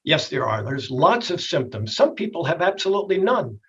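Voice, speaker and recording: A middle-aged or older man with a slightly husky voice, a doctor explaining things in a plainspoken, folksy way in a TV health interview. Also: fairly high pitch, sing-song, moderate pace, a bit bright, muffled recording. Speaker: doctor, expert guest.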